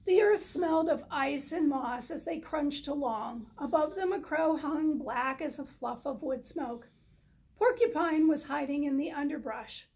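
A middle-aged woman narrates a picture book for children. Her voice is high and she takes her time over each line. She is recorded indoors, a few feet from the camera. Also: measured pace, darkish tone, rough recording. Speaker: storybook reader. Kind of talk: children's story read aloud, scripted narration. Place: indoor library, in front of bookshelves.

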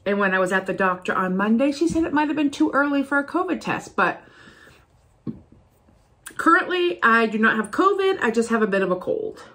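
A woman's voice: fairly high pitch, a little fast. A woman in her fifties with a slight cold, chatting casually through a shopping haul in her home office, speaking to a desk camera. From Ohio, USA. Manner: chatty, rambling, lively greeting. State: has a cold, sniffling. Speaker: vlogger. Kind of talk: casual haul video chat. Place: home office with bookshelves, indoors. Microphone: camera at desk distance.

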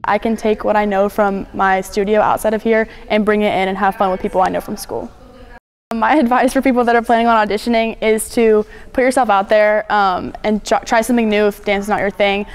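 A teenage girl on a dance team, chatting casually in an interview with quick fillers. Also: medium pitch, fairly even intonation.

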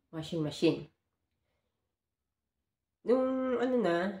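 A woman's voice: lowish voice, reverberant. A woman in her forties, a hotel housekeeper, talking earnestly to her phone camera from a small bedroom and explaining her work step by step. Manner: earnest, explanatory, mixing in English phrases. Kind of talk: casual vlog talk to camera. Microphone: phone camera at close range.

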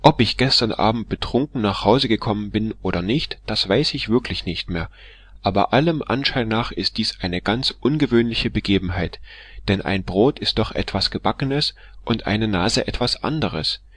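A man's voice: lowish voice, moderate pace, a bit bright, husky, dry recording. A man doing a timid, bewildered old-world barber. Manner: mumbling, hesitant.

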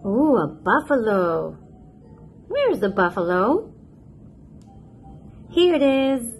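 A woman reading a picture book aloud to toddlers in a playful, expressive, sing-song manner. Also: on the high side, slow, slight background noise. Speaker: children's picture book narrator, host.

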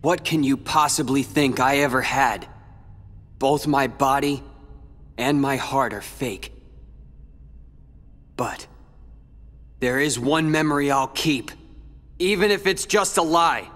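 An actor playing a brooding, defiant teenage warrior in a video game, somber, measured and resolute. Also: male voice, medium pitch, fairly bright tone. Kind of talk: video game cutscene acting. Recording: long hall reverb.

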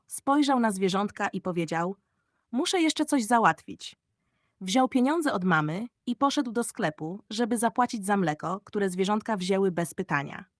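A woman reading a children's audiobook story in an expressive storybook manner. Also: mid-range voice, no room sound, clean studio sound.

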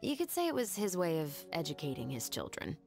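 A stern, stoic patrol captain. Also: female voice, deep voice, creaky, heavily acted. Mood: solemn. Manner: calm, measured, reserved. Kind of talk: anime game dub. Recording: game audio under music.

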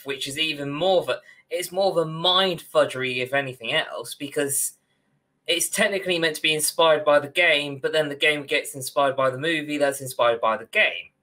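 Young male podcast co-host, slightly high and sharp-toned, opinionated, emphatic, mildly sweary. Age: young adult. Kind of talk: casual opinionated discussion. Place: indoor room, video call.

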